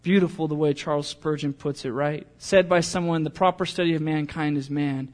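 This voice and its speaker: A man preaching a sermon as pastor, earnest, building to emphatic peaks and then dropping to quiet, intimate lines. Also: on the high side, fairly even intonation, a little fast.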